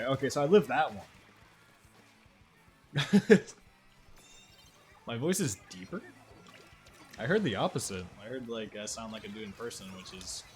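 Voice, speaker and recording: Man, lowish voice, video game streamer, casual slangy commentary, chatting to viewers, music in the background. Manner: informal, slangy. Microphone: streaming microphone. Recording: music playing behind the voice.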